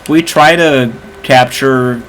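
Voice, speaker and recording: A man's voice: mid-range voice, slow, a bit bright, slight background noise, poor audio. A man from the American Midwest with a Midwestern accent, chatting and explaining casually as a game stream co-host. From Moline, Illinois, American Midwest.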